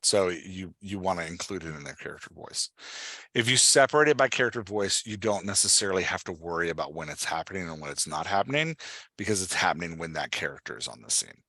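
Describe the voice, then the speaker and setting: A man's voice: low voice, animated, fast, pushed and bright, no room sound. A man hosting and coaching in a casual conversation, explaining step by step and often checking that he is understood. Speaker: podcast host and writing coach.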